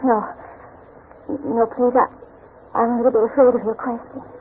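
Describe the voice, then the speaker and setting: A woman's voice: fairly high pitch, little pitch movement, moderate pace, close to an everyday voice. An actress playing a shy, tender, mysterious young woman in 1940s radio drama style, wistful and hesitant. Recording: noisy, tinny 1940s radio recording.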